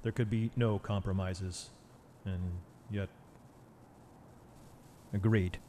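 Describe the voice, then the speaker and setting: A man's voice: lowish voice, fairly even intonation, slow, mellow. Grim, brooding vigilante played by a man, noisy backdrop. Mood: grim, resolute. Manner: terse, solemn, resolute. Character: grim, brooding male masked vigilante detective. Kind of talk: noir radio drama acting.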